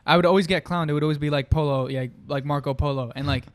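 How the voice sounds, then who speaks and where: Brief: young Brooklyn-raised guy, Italian first language, slangy and rambling, voice sits a bit high. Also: steady tone, fast talker. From Brooklyn, New York. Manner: rambling, slangy, many fillers and restarts. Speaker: podcast guest. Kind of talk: casual chat.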